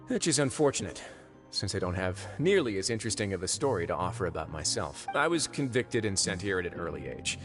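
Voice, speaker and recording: Brief: smooth, low-ish suave prison lord for a game, soft music behind. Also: male voice, very animated. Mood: wry. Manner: smooth, measured, politely teasing. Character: suave young male prison duke, calm and shrewd. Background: game background music.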